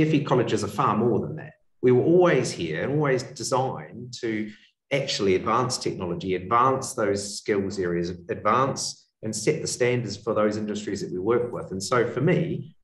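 A man with a New Zealand accent speaking as a guest on a video call, explaining his work. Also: on the low side. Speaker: college executive, guest speaker. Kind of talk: explanatory webinar talk. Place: indoor room, on a video call. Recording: roomy, distant video-call microphone.

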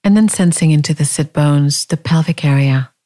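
A woman leading a guided body scan meditation in a calm, gentle, unhurried manner, leaving long pauses. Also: low voice.

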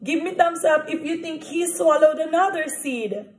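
High-pitched woman teacher reading to kids. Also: normal speed, a little hiss or hum. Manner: animated, questioning, addressing children directly. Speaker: teacher reading aloud to young children. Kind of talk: interactive picture-book read-aloud. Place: small indoor room at home. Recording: echoey room recording.

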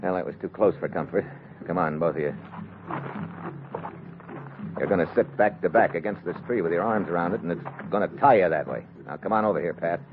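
Actor as low-voiced tough American agent, old radio. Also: male voice, a little fast, long pauses, noisy background, natural voice. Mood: wary. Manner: hard-boiled, terse, commanding. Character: tough, wary American secret agent hero narrating his jungle adventure. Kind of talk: old-time radio adventure acting. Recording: muffled vintage radio recording with music.

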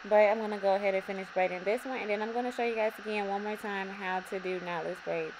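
A young woman with a slightly raspy voice, calmly talking viewers through a hair braiding tutorial in her bedroom. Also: mid-range voice, fast. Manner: relaxed, repetitive instructions addressing viewers. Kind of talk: step-by-step tutorial narration. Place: bedroom, indoors. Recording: audible background noise.

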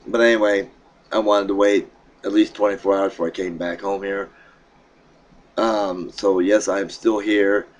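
A middle-aged man with a deep, low voice talks into a desk webcam in a small room at home. His throat sounds froggy, and his speech wanders, with halting restarts and plenty of fillers. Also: steady tone. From Pennsylvania. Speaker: vlogger. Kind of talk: casual vlog update.

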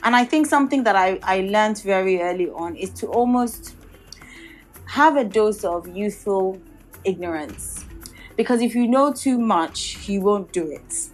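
A woman, her Yoruba, answering interview questions in a reflective way. She speaks at a middling pitch and often circles back with restarts and "you know". The talk sounds measured and considered. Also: expressive, faint music behind, poor audio. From Nigeria. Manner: thoughtful, many restarts and "you know", occasional light laughs. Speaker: guest architect and designer.

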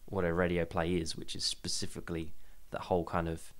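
A man's voice: deep voice, completely flat delivery, brisk. A man co-hosting a podcast in casual discussion, hesitant and often repeating words mid-sentence. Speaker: podcast host.

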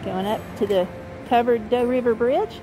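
A woman's voice: on the high side, moderate pace, over music, low-quality recording. A middle-aged woman narrating an informal sightseeing tour as a travel vlogger, reading out signs and pointing out sights. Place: outdoors on small-town streets at night. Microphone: handheld camera at arm's length.

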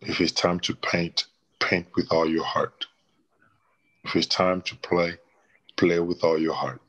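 A man's voice: low voice, level tone, measured pace, a bit bright, highs cut off. A middle-aged man from the DR Congo, a painter and former basketball player, answering interview questions thoughtfully from a small room, with many restarts. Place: small plain room indoors.

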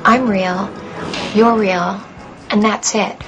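A woman's voice: medium pitch, steady tone, takes it slowly, lo-fi sound, over music, natural voice. An actress playing a possessed twelve-year-old girl in a radio drama, eerie, dreamy and knowingly taunting.

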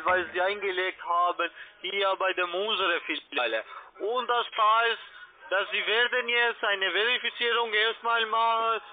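A man who is not a native speaker, an insistent finance agent on the phone with broken grammar. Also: high voice. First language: not German. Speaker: call centre finance agent. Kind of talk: phone sales pitch. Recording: noisy narrow telephone line.

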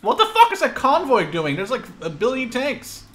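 A man bantering excitedly and urgently while playing a video game, swearing casually. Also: very high-pitched, moderate pace. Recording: clear background noise of game ambience.

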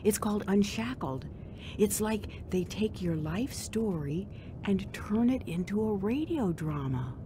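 A woman playing a gentle, devout young divorced mother in a radio drama. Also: medium pitch, expressive, measured pace, noisy background, lightly acted. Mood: gentle, encouraging.

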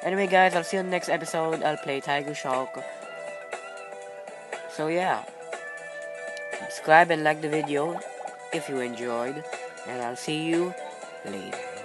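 A gamer, a Tagalog speaker, giving casual, excitable gameplay commentary, exclaiming and muttering playfully. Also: mid-range voice, unhurried, pauses often. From Philippines. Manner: exclaims and mutters playfully to himself while playing. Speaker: gaming video host. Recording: loud game music over voice. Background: loud video game music.

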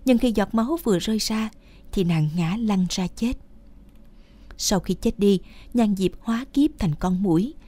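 A woman's voice: medium pitch, normal speed, dark tone. A woman reading scripted fairy-tale narration as a storyteller. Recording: music behind the voice. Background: music.

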